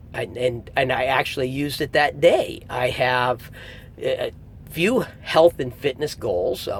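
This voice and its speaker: A middle-aged man giving a casual spoken review in a conversational, emphatic way, pausing for effect. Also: vocal fry. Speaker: audiobook reviewer. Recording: faint low rumble, like vehicle noise.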